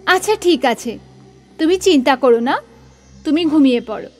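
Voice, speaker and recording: Woman playing a very high-voiced anxious young mother. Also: lively intonation, normal speed, faint music behind. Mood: anxious, caring. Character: caring, anxious young mother of a small boy. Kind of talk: animated horror story dialogue.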